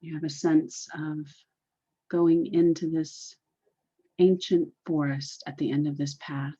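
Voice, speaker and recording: A woman leading a guided meditation in a deliberate, soothing, repetitive way, on a dull, muffled recording. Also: low-pitched, little pitch movement, warm tone, breathy edge.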